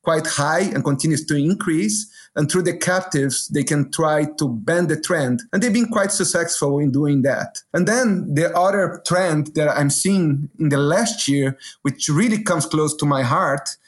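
A man's voice: on the high side, a little slow, hardly any pauses. A middle-aged man from Brazil, a business executive answering questions as a guest in an interview.

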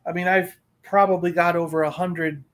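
Middle-aged man, high voice, casual fan chat, rambling, emphatic, repetitive. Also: little pitch movement, moderate pace, fairly bright tone. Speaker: collector and panelist on a fan livestream.

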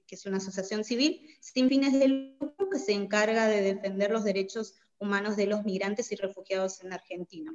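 A woman's voice: mid-range voice, highs cut off. A woman from Bolivia speaking as a podcast guest, explaining her work conversationally and checking in with little tag questions. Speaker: podcast guest, migration social worker. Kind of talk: conversational interview answers.

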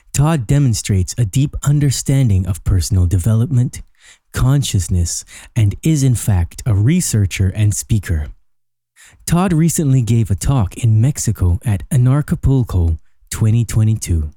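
A man from Barbados hosting a podcast, reading a measured scripted intro and then asking questions. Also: lowish voice, mellow, dry recording, clean studio sound. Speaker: podcast host.

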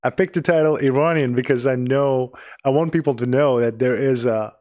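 A man's voice: mid-range voice, a little mellow. Earnest Iranian-born man, podcast host. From Iran. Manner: earnest, pauses and restarts often. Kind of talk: casual podcast conversation.

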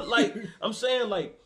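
A man's voice: high-pitched, pushed and bright. A man in casual, profane conversation, arguing his point with laughs and repeated restarts. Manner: profane, argumentative, laughs often. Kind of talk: casual debate chat.

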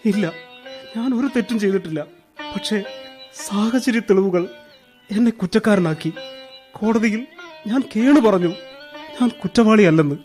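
Anguished, despairing wronged convict. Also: male voice, high voice, darkish tone, faint music behind. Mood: bitter anguish. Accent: Kerala Malayalam. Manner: anguished, halting, theatrical. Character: despairing, bitter wronged ex-convict turned burglar. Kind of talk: melodramatic radio drama acting.

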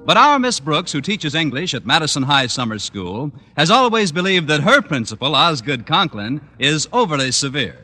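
A man's voice: fairly high pitch, big pitch swings, normal speed, few pauses, pushed and bright, soft background music. A man reading a script as a polished announcer for an old-time radio show. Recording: noisy vintage radio recording.

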